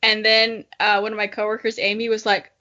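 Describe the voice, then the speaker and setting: A woman's voice: mid-range voice, monotone, a little fast, sharp tone, muffled recording. A woman co-hosting a podcast in casual chat, telling stories in a jokey way with some casual swearing. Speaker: podcast co-host.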